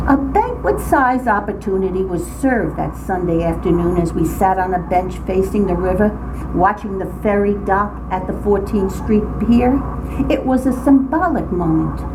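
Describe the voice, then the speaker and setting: An older woman reads her own humorous personal story aloud in an expressive, dramatic way, with emphatic phrasing. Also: mid-range voice. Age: older, grandmother. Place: indoors, writing group meeting room. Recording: very noisy small-group room recording. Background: clear background noise and room ambience.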